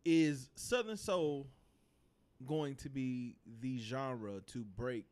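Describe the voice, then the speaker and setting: A man's voice: medium pitch, slow, darkish tone. Southern man hosting casual talk. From South Carolina, United States. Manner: slangy, swearing freely, leading the discussion with questions. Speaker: livestream talk show host. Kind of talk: casual podcast discussion.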